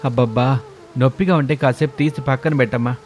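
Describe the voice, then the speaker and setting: A man's voice: medium pitch, steady tone, brisk, darkish tone, close to an everyday voice. Man acting an exasperated family man. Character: exasperated adult son and easygoing father.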